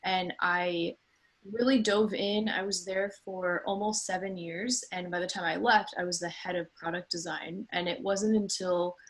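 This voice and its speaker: A woman in her thirties speaks in a slightly low register. She sounds conversational and earnest, like a guest telling her own story on a panel, and sometimes repeats words for emphasis. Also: fairly even intonation, moderate pace, poor audio. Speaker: designer and small business owner, panel guest. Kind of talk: informal panel talk about own career.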